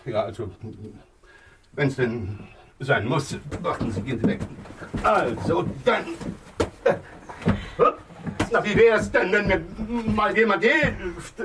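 An actor playing a bumbling, fussy accomplice in a comic crime radio play, hesitant and stammering, often repeating himself. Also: male voice, high voice, slow, pauses often. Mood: flustered, straining. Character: bumbling, fussy, reluctant male accomplice to a fake murder. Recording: distant, faintly noisy old radio play.

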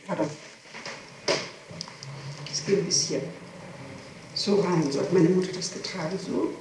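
A woman's voice: fairly low pitch, measured pace. Echoey, distant tape of a woman under questioning. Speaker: person being questioned by a prosecutor. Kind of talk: answering questions in a formal interrogation. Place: reverberant room, interrogation recorded on tape. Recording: echoey archival tape, distant mic, hiss.

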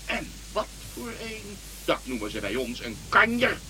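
A grumbling old king in a radio play, freshly roused from bed. The voice is high and bright, with slow, theatrical exclamations and bewildered muttering to himself. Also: very animated, a little hiss or hum, full character voice. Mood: bewildered wonder.

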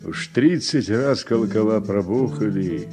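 A man acting in a Soviet radio drama as a steady, wry older farm worker, folksy and gently chiding. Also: on the low side, a little slow, music in the background. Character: wry, steady older farmhand, mentor to the young.

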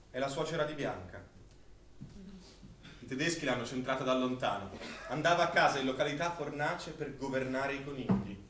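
An actor playing a solemn narrator who recounts wartime deaths in a deliberate, declamatory stage manner. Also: male voice, mid-range voice, far from the mic, close to an everyday voice. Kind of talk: dramatic scripted stage narration. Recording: echoey, off-mic recording with faint noise.

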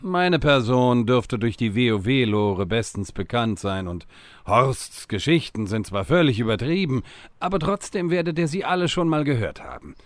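A mid-pitched, pompous wizard, played by a man, measured and dry in his delivery. The sound is close and dry, for a fantasy parody radio play. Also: expressive, no echo, close to an everyday voice. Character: stern, self-important wizard from another time. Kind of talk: comedic fantasy audio drama parody.

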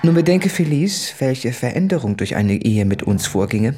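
An actor playing an anguished, self-tormenting suitor in an earnest, deliberate literary monologue for radio drama. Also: male voice, mid-range voice, very animated, mellow, slightly rough. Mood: earnest warning.

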